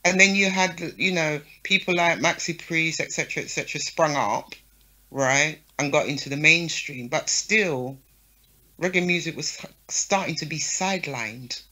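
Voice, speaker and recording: A woman promoter talks frankly as an interview guest, often tossing in "right?" and "yeah?" to keep her listener with her. Her voice is bright and forceful. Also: low-pitched, normal speed, low-quality recording. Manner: frank, lists names, checks in with "yeah?" and "right?". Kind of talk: casual interview talk.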